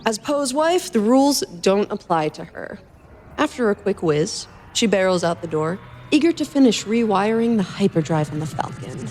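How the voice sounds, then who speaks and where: A woman's voice: medium pitch, sing-song, normal speed, a little mellow, faint music behind. A woman co-hosting a podcast, moving between banter and narrating stories aloud. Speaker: podcast co-host and musician.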